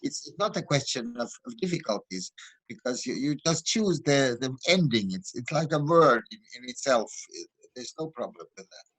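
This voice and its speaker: A man's voice: mid-range voice, lively intonation, normal speed, a bit bright, breathy edge, dry recording, rough recording. Guy in an online class arguing his point, super up and down intonation, dry audio. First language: not Finnish. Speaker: lesson participant. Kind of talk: discussion in online lesson. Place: online video call.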